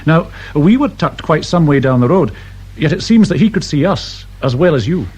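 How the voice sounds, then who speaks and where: An actor playing a deferential, hesitant English police sergeant in a radio drama. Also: male voice, on the high side, animated, normal speed, darkish tone, breathy edge, lo-fi sound. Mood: hesitant, polite. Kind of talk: naturalistic British radio drama acting.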